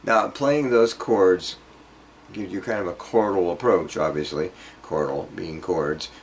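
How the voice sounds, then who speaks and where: A man's voice: sits low, measured pace. A man giving an informal guitar lesson, explaining piece by piece in a hesitant way as he demonstrates. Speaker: guitar teacher. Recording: loud guitar playing alongside voice. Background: acoustic or jazz guitar playing.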